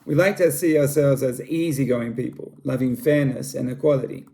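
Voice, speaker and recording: Australian man with a gravelly, mellow voice. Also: medium pitch, steady tone, moderate pace. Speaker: school library director. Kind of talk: scripted cultural presentation for students.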